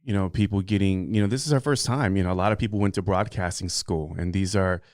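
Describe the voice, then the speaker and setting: A man's voice: low-pitched, fast talker, darkish tone, no room sound, clean studio sound. A middle-aged man speaking as a podcast consultant guest, answering interview questions in an earnest, rambling way with many fillers.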